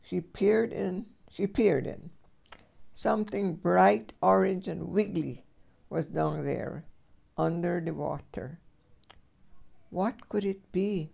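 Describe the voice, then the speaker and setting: An older woman reading a children's storybook aloud as a great-grandmother, with expressive storyteller phrasing. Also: lowish voice, slow pace, vocal fry, long pauses.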